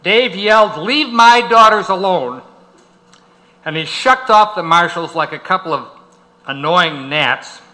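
A man's voice: high voice, animated, unhurried, pushed and bright, poor audio. An older man giving a prepared speech to an audience, telling a story in an emphatic, dramatic manner.

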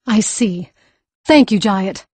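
An actress playing a learned healer-mage, measured, brisk and authoritative, in an audiobook. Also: female voice, mid-range voice, animated, a little mellow, dry recording. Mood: brisk concern.

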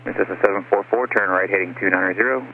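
Deep, fast male controller over radio. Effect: aviation radio. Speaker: air traffic controller. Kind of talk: procedural radio instructions. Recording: phone-like aviation radio, faint noise.

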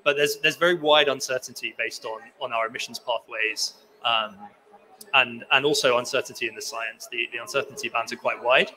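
A man's voice: mid-range voice, fairly even intonation, a little fast, bright, forceful tone, rough recording. A young man, a sea ice scientist, speaking at a conference podium and answering questions, with many restarts and fillers. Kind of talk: conference talk and Q&A. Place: conference pavilion hall, indoors. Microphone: podium microphone.

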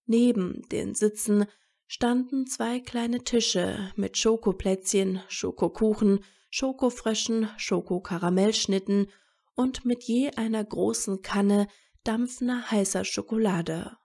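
Woman narrating a story, real slow, soft dark tone w lots of vocal fry. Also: mid-range voice.

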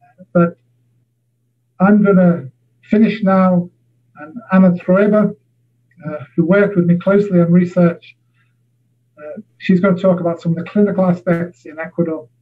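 A man's voice: sits high, steady tone, moderate pace, long pauses. A man giving an academic seminar talk over a video call, hesitant, with many filler sounds. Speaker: university lecturer. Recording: tinny phone-like audio.

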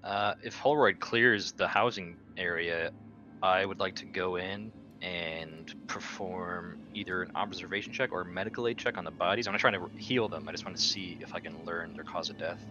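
A man's voice: low voice, moderate pace. A man taking part as a player in a tabletop roleplaying game, talking casually. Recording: dull sound, music behind.

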